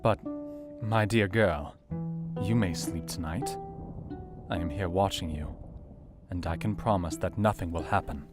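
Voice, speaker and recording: Low-voiced, earnest Victorian doctor. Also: male voice. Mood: gently concerned. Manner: measured, formal, literary delivery. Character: earnest, caring Victorian doctor recounting his diary. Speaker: actor narrating a diary. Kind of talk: dramatic radio play narration. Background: plucked guitar music.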